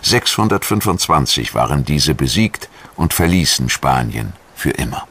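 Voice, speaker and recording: A man's voice: rumbling low, sing-song, moderate pace, fairly bright tone, husky. A man reading scripted documentary narration as the narrator. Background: background music.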